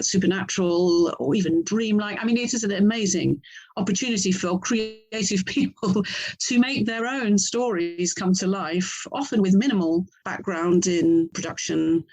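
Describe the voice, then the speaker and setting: A woman's voice: fairly low pitch, a little slow, darkish tone, rough recording. A middle-aged woman with an English accent talking casually as an interview guest, thoughtful and chatty. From England. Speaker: writer and audio drama producer, interview guest.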